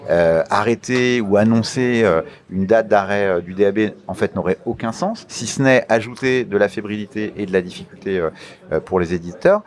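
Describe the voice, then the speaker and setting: A man giving formal interview answers as an official, in a deliberate, halting manner with emphatic repetition of key phrases. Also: lowish voice. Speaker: interview guest, media regulator official.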